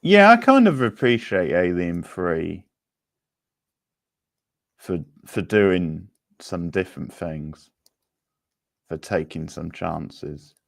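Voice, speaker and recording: A man's voice: deep voice, big pitch swings, takes it slowly, gravelly, long pauses, dry recording. A man hosting a livestream quiz, chatting casually with viewers in a laid-back, conversational way. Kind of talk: casual live chat with viewers.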